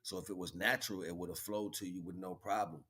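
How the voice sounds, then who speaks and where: A man delivering a rambling spiritual monologue in a halting, wordy way, mixing lofty terms with street slang and rhetorical questions. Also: low voice, fairly even intonation, bright, forceful tone, husky. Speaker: streamer giving spiritual talks.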